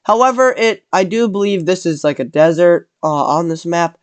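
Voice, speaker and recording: A man's voice: sits high, steady tone, moderate pace, no room sound. A man with a cold narrating a map scenario in casual commentary, rambling and correcting himself as he goes. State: has a cold.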